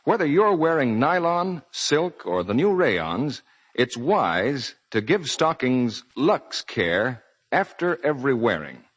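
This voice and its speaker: Old time radio announcer guy, american, swoopy pitch, bit raspy, muffled vintage broadcast. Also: very animated, takes it slowly. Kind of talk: 1940s radio announcing, scripted commercial and credits.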